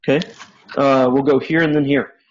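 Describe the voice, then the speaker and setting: A man from Louisiana speaks at a conference session with a loud, chatty delivery and often restarts his words. His voice has a husky edge. The recording is dull and lo-fi. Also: mid-range voice, flat delivery, normal speed. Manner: loud, chatty, repeats word starts. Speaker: conference presenter. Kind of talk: conference session talk, audience participation.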